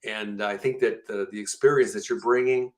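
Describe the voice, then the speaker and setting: A man in his sixties hosting an interview show, chatting casually and warmly affirming his guest with many "you know"s. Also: lowish voice, steady tone, moderate pace. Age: 60s. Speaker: host.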